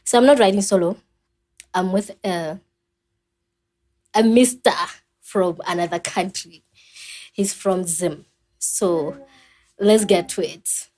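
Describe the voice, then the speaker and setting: Mellow-voiced woman hosting a podcast. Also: mid-range voice, slow, long pauses. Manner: stumbling restarts when asking. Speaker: podcast host. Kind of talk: conversational interview.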